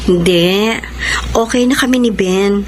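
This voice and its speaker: A woman's voice: mid-range voice, a little slow, a little hiss or hum, lightly acted. An actress playing a young woman fretting over her parents in a radio drama, pleading and anxious in tone. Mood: worried. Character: Ana, a young woman worried about parents. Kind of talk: radio drama acting and letter narration. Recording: radio drama with music bed.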